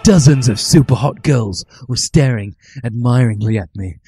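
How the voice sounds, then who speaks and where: A man reading a comic story aloud as a mock-dramatic narrator, with deliberate, emphatic delivery. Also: mid-range voice, mellow, slight background noise. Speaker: podcast reader. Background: music.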